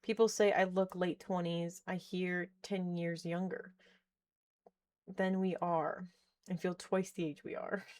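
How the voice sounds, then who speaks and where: A woman's voice: on the low side, level tone, measured pace, a little mellow. Chatty woman streamer in her thirties. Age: 30s. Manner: chatty, laughs often, reads chat aloud while tinkering. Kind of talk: casual live-stream chat. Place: indoors at home, working on a keyboard.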